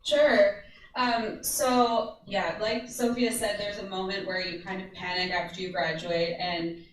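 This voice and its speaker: A woman's voice: medium pitch, flat delivery, measured pace, very echoey, far from the mic, slight background noise, rough recording. A young American woman with an American accent, talking loosely about her first job with many ums. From United States. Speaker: panel speaker, social media producer. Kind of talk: casual panel talk.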